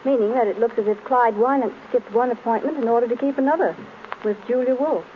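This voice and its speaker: An actress playing a detective's poised, inquisitive, teasing wife in crisp 1930s radio style. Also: female voice, on the high side, fast talker, close to an everyday voice. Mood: curious, teasing. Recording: dull, noisy old radio recording.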